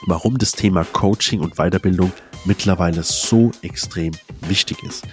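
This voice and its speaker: A man hosting an interview podcast, greeting his guests warmly and inviting them to introduce themselves. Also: low-pitched. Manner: friendly, addresses guests warmly. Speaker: podcast host.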